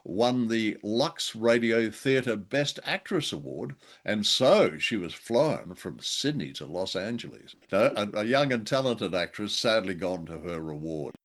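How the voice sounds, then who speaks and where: A man's voice: fairly low pitch, big pitch swings, unhurried, few pauses. A man co-hosting a radio show and podcast, chatting in a casual, conversational style.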